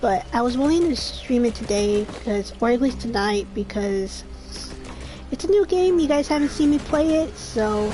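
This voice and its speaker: A woman's voice: a little high, animated, normal speed. A woman in her twenties giving casual gameplay commentary, rambling and restarting her sentences, her throat dry and a little scratchy. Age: 20s. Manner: rambling, trails off and restarts often. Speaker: gaming streamer. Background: game music.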